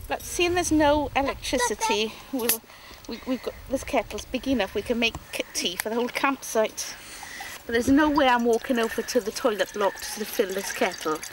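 Wry, joking woman chatting outdoors at a campsite, slightly high voice, faint outdoor noise. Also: lively intonation, moderate pace. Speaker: mother on a camping trip. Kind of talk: casual chat.

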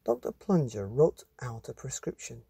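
A male storyteller reads a children's bedtime story expressively. His voice is mellow and very husky, with lively swings in pitch. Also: normal speed, no room sound.

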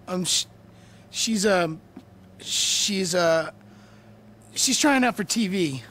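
An actor playing a hesitant young adult son in a video game, speaking in a halting, slack way. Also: male voice, sits high, animated, fairly bright tone, full character voice. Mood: sheepish. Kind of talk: video game dramatic acting.

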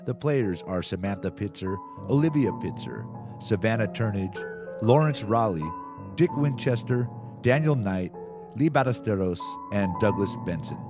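A male radio announcer reads scripted credits. His voice sits fairly low, with music clearly playing behind him. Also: lively intonation, moderate pace.

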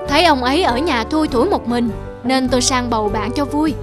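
A woman voicing a modest, kindly old man next door, plain-spoken and advising, in an animated moral drama. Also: high-pitched, brisk, music in the background, close to an everyday voice. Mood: friendly advice.

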